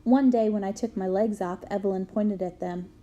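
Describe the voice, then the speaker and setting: A woman reading a memoir aloud to students in a clear storytelling manner. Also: medium pitch, fairly even intonation, normal speed, dull audio. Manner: clear storytelling, bursts into loud shouts for quoted yells.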